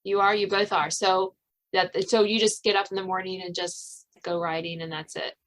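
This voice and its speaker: A woman's voice: mid-range voice, little pitch movement, normal speed, fairly bright tone, poor audio. A woman podcast host chatting casually with guests, giggly and often interrupting her own sentences.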